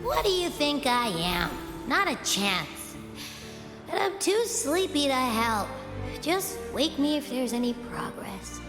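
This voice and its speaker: A woman's voice: high voice, very animated, slow pace, full character voice. A sleepy, dismissive young woman in an echoing hall. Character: drowsy, unbothered young woman who refuses to help. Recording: roomy echo with long reverb. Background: game music.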